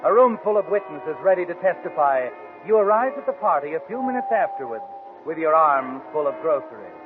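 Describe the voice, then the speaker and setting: A man's voice: on the high side, very animated. An actor playing a sinister, all-knowing male narrator in a 1940s radio mystery, with an ominous, knowing delivery. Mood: mocking, knowing. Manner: ominous, knowing, deliberate phrasing. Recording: muffled vintage 1940s radio recording. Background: dramatic music.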